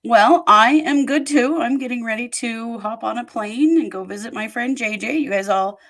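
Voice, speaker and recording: A woman's voice: fairly high pitch, moderate pace. A woman hosting a podcast, talking in a chatty, rambling way and laughing easily. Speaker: host. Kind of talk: casual podcast chat.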